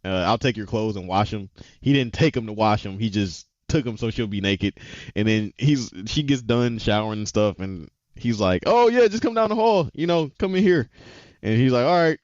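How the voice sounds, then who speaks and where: A man's voice: on the low side, expressive, moderate pace, darkish tone, no echo, muffled recording. A man co-hosting a casual talk show, retelling scenes animatedly and acting out the characters' lines. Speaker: podcast co-host. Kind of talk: casual chat recapping anime episodes.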